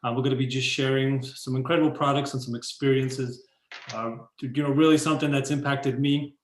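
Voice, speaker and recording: A man's voice: mid-range voice, steady tone, fairly quick, echoey room. A man hosting a video call, introducing a guest with enthusiastic, filler-heavy talk. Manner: enthusiastic, repetitive, many fillers. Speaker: host.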